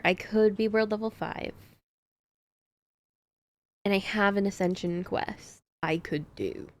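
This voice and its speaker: A woman's voice: lowish voice, vocal fry. A woman streamer chatting casually to herself while she plays a game. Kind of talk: casual gameplay commentary.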